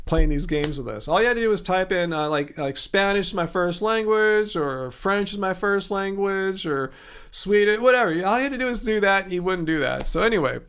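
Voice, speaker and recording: Host, male, middle-aged, high-pitched, rambling casual chat reading comments. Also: lively intonation, brisk, a bit bright, clear, resonant tone. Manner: chatty, rambling, many fillers and restarts. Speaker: livestream host answering chat.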